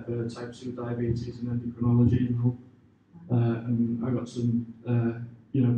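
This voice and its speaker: A man giving informal, hesitant answers to questions after pitching his business, leaning on filler phrases. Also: dark tone. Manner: hesitant, informal, leans on "you know" and "sort of". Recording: echoey room, distant mic, clear background noise.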